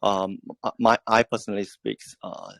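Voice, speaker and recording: A man whose first language is Japanese, answering questions in an online AMA as a project team member, halting and full of fillers. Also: lowish voice, steady tone, vocal fry, a bit bright, no echo. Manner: halting, many fillers and restarts.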